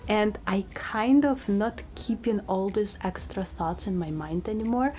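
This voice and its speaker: A young woman with a Russian accent talks through her day in a relaxed, chatty vlog voice at a middling pitch. Her English comes easily but with small grammar slips. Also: a little hiss or hum. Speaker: lifestyle vlogger. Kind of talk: casual vlog narration.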